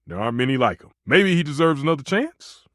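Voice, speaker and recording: A living kitchen stove from a video game, a proud and passionate culinary purist. His fairly high voice swings dramatically up and down with theatrical emphasis. The sound is close and dry, and every line is delivered with grandiose conviction. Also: very animated, quick pace, a bit bright, dry recording. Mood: concerned, confiding.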